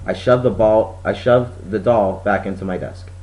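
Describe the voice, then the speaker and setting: A man's voice: fairly low pitch, moderate pace, darkish tone. A man reading a children's story aloud as a scripted narration. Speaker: storybook reader.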